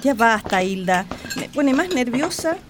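A woman's voice: fairly high pitch, sing-song, measured pace. A woman playing an anxious, bossy but affectionate Chilean mother in a radio drama. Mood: nervous, stern. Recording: noisy, clattering dishes and clicks.

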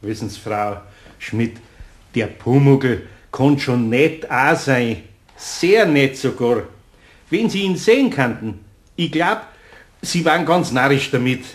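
An older Bavarian master craftsman in a radio drama. He speaks gently and somewhat hesitantly, kindly and plain, in a mid-range voice. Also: medium pitch, expressive, slow pace. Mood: gently persuading. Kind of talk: German radio play acting.

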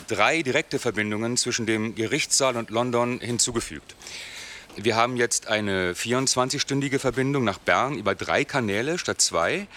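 A man's voice: mid-range voice, fairly bright tone, close to an everyday voice. An actor playing a careful, earnest male head of technical services being interviewed in a radio play, explaining hesitantly. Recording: faint background noise.

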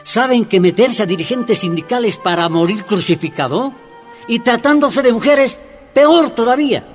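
An actor playing a grim union leader in a radio drama, giving an emphatic, grave warning. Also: male voice, very high voice, lively intonation, moderate pace, soft background music.

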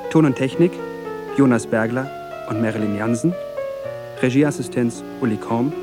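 A man's voice: medium pitch, normal speed. A man reading radio drama credits as a formal, scripted announcement. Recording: music behind the voice.

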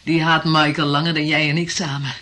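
A woman's voice: low voice, little pitch movement, a little fast, bright, forceful tone. An actress playing a scornful, vengeful woman scheming in a radio drama, conspiratorial and contemptuous.

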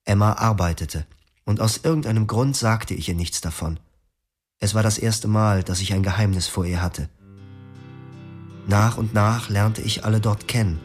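A man's voice: on the low side, normal speed, soft background music, natural voice. An actor narrating as a weary young man in a German radio drama. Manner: subdued, reflective. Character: weary young man telling his own story.

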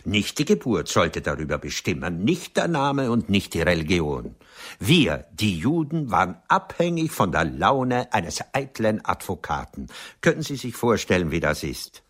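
An actor playing a proud, wounded Viennese doctor and writer in a radio play, speaking in deliberate, weighty phrases. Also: male voice, low voice, sing-song, breathy edge, full character voice. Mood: bitter, indignant. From Austria. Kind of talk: German radio play acting.

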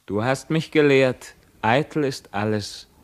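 An actor playing a dreamy, withdrawn captive young prince in a theatrical radio drama, solemn and introspective. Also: male voice, medium pitch, a little slow, lightly acted. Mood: resigned, aloof.

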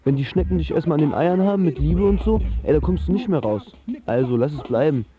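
A man's voice: a little high, expressive, fast, phone-quality sound, lightly acted. An actor voicing a brash, cynical young womanizer who talks in cocky street slang in a radio drama. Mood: cocky warning. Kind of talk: acted quote in radio drama.

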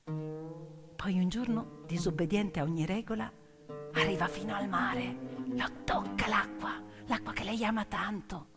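A woman performing a dramatic theatrical storytelling monologue, emphatic and moving between hushed and declaimed delivery. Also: lowish voice, a little slow. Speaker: stage actress and storyteller. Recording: long reverberant hall with music. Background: piano music.

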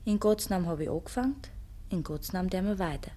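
A woman's voice: lowish voice, lively intonation, fast talker, mellow. A woman playing a shrewd, practical young cook in a Bavarian dialect radio drama from the 1950s, dry and matter-of-fact. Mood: devout, weary.

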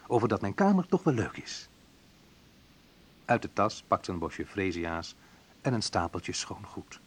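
Sensitive young man, radio play narrator, slightly husky, lively intonation, intimate, wry. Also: fairly low pitch, a little slow, heavily acted. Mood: pained, subdued. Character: young male former student recalling his love life, wry and sensitive. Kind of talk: intimate first-person radio play monologue.

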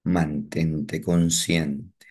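Slow, deep-voiced man guiding meditation. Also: breathy edge. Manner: slow, calm, repetitive instructions with long pauses. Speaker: meditation guide. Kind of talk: guided meditation.

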